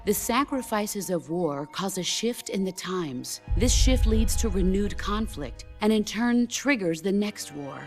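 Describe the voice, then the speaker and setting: An actress playing a stoic, battle-hardened middle-aged soldier and mentor in a game cutscene, speaking gravely and deliberately. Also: female voice, medium pitch, darkish tone, lightly acted. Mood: grave, intense. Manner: measured, deliberate, grave delivery. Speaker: video game character. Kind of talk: dramatic cutscene monologue. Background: orchestral music.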